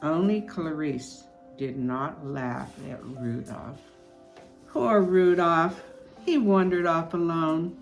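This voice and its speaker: An older woman reading a children's storybook aloud in an expressive, unhurried way. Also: low-pitched. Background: music.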